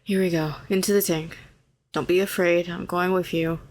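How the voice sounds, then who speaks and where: A woman performs a telepathic villainess in an intimate audio drama. She is a fallen hero with a low voice, sardonic on the surface and protective underneath. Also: fairly even intonation, moderate pace, slight background noise, close to an everyday voice. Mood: soothing, tender. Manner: sharp and sardonic, turning soothing and coaxing. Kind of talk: intimate scripted audio roleplay.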